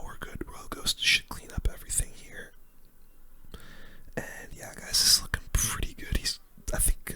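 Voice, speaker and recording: A video game streamer giving casual, slangy gameplay commentary in a soft, unvoiced ASMR whisper.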